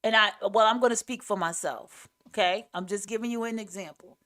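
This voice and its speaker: Raspy new york lady on her podcast, just talking, blunt, emphatic, swears a lot, voice carries. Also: mid-range voice, fairly quick, pushed and bright. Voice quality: raspy, carrying voice. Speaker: podcast host. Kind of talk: casual opinionated monologue.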